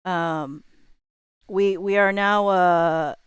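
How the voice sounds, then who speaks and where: A woman with a fairly low, very clean and smooth voice hosts a casual livestream. She thinks aloud in a laid-back, slangy way, as if half talking to herself. The sound is close and dry, with very little room in it. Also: steady tone, extremely slow, dry recording. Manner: informal, laid-back, slangy, muttering to self while working. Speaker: livestreamer hosting a chatbot roleplay stream.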